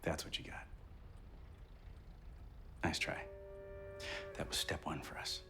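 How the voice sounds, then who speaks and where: An actor playing a needling 1920s police detective, sly and casual in manner. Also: male voice, slow, over music, close to an everyday voice. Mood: sardonic. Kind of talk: television period drama acting.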